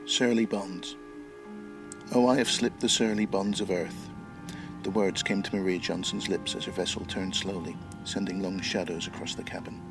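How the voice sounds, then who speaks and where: A man's voice: deep voice, fairly even intonation, moderate pace, over music. A middle-aged man from Northern Ireland, speaking as a writer and podcast guest. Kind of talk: interview answers and reading own short story.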